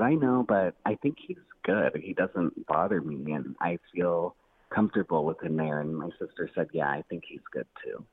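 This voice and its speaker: Easygoing guy telling a story on a phone call, low voice, kinda husky, says like a lot. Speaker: podcast guest calling in. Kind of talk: casual personal storytelling. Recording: tinny narrowband phone line.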